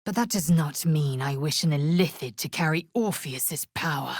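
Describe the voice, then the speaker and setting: A woman playing a fierce, proud, disdainful young githyanki warrior in a video game, speaking in a husky, breathy, imperious and clipped way. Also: sits low, expressive, dry recording, clean studio sound, full character voice. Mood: proud, solemn. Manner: imperious, clipped, deliberate, formal.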